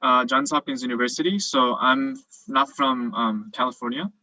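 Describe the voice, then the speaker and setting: A man's voice: medium pitch, moderate pace, pushed and bright, no room sound, lo-fi sound. A young man who grew up in South Korea and is now a dental student, explaining cases casually with lots of fillers over a video call. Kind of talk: virtual presentation and Q&A.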